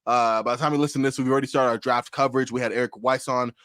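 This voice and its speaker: A man hosting a basketball podcast, hyped and energetic, in casual chat with a guest. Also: medium pitch, dry recording, clean studio sound. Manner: hyped, energetic, talks fast with restarts. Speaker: podcast host.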